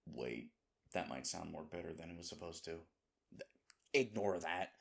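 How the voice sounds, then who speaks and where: A man's voice: deep voice, expressive, normal speed, creaky. A man playing a sweet, flustered, self-deprecating boyfriend in an intimate roleplay audio, hesitant and rambling as he corrects himself. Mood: awkward, pleading.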